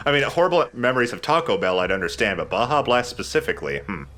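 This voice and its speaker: A man's voice: medium pitch, big pitch swings, fast, bright, forceful tone, slight background noise. A man giving casual, joking, chatty commentary while playing a video game. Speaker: let's play host playing the game.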